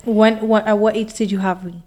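A woman's voice: medium pitch, steady tone, a little fast, a little mellow. A woman in her twenties hosting a casual podcast in a bubbly, informal, teasing way. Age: 20s. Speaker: podcast host.

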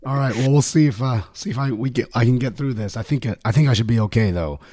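A man with a hoarse voice hosting a finance podcast and interviewing a guest, upbeat in his intro. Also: mid-range voice, fast, dark tone, no room sound. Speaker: podcast host.

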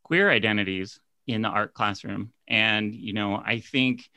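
A man's voice: lowish voice, steady tone, unhurried, bright, forceful tone, clear, resonant tone, no room sound. A man co-hosting a podcast, talking casually as he interviews guests. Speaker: podcast co-host.

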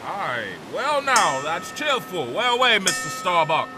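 An actor playing a stern, sardonic old whaling captain in an audio drama, with a commanding manner. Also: male voice, high voice, very animated, measured pace, bright, forceful tone, soft background music, exaggerated voice.

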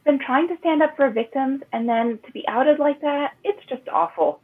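Woman playing high-voiced caller on tinny phone. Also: normal speed, lightly acted. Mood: sympathetic, indignant. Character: sympathetic, worried female caller. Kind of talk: scripted fiction podcast acting. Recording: tinny narrow phone line.

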